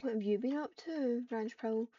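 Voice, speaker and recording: A woman's voice: fairly high pitch, brisk, dark tone, natural voice. A woman voicing a female travelling warrior cat in a roleplay game, talking in a familiar, conversational way. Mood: curious, familiar. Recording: dull lo-fi mic, noisy, keyboard clicks.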